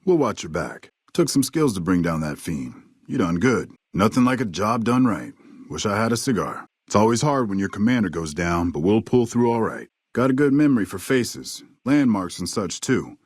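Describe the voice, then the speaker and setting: A husky, drawling veteran sniper. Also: male voice, lowish voice, sing-song, no room sound, clean studio sound. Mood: laconic, matter-of-fact. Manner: folksy, unhurried, understated. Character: weathered veteran army sniper, courteous, laconic old ex-scout. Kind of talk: video game character dialogue.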